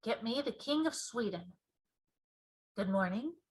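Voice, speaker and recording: A woman voicing a composed, commanding queen in a children's storybook, measured and matter-of-fact. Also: fairly high pitch, lively intonation, rough recording. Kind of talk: children's storybook character reading.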